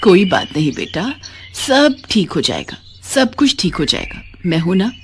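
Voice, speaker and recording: A woman's voice: on the low side, huge pitch swings, normal speed, slight background noise. A woman voicing a kind, forgiving elderly village mother in a moral story, tender and pleading. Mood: tender, consoling. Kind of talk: animated moral story dialogue.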